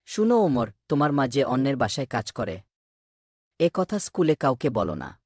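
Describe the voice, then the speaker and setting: A man voicing a kindly, concerned male schoolteacher in a cartoon, speaking gently and earnestly. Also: mid-range voice, sing-song, moderate pace, breathy edge, no echo, clean studio sound. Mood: advising. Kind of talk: cartoon voice acting.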